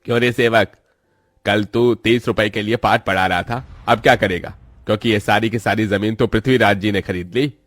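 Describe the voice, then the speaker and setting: A man's voice: lowish voice, steady tone, moderate pace, a bit bright, no room sound, studio quality. A man playing a stingy farm landowner in a cartoon moral story, curt, scornful and taunting toward his laborer. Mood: gloating taunt.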